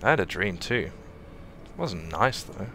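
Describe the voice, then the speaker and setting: A man doing a game fan dub in a clipped, flat delivery. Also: fairly low pitch, unhurried, a little hiss or hum. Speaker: fan dubber voicing all parts. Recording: game soundtrack music behind.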